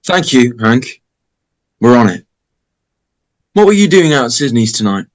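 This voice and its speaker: A man playing a stern, weary middle-aged small-town sheriff in an amateur script read, gruff and measured. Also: medium pitch, very animated.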